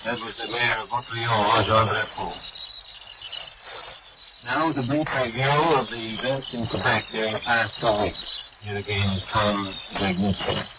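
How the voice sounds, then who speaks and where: A man's voice: on the low side, steady tone, a little slow. Male anchor linking reports. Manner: crisp, formal links between reports. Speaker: news anchor. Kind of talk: news presenting. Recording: lo-fi, noisy shortwave.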